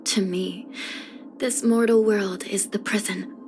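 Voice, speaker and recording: A woman playing a weary, grave young judge of the underworld in a video game, speaking softly in measured, formal phrases. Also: medium pitch, fairly even intonation. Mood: calm, wistful. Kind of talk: scripted video game character voice lines. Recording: music under the voice. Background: background music.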